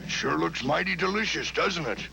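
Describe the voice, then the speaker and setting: A man's voice: a little high, expressive, normal speed, creaky, poor audio. A man playing an eager, hungry animal in a fairy tale cartoon, talking in a coaxing, conversational way. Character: hungry male animal adventurer, eager and persuasive. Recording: music behind the voice.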